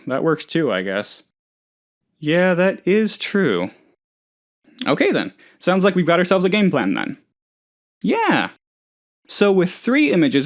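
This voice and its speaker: A man playing an eccentric, eager, scatterbrained office worker in a comedic video game, rambling earnestly about absurd things. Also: fairly high pitch. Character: eccentric, eager, scatterbrained male office colleague, cheerfully odd.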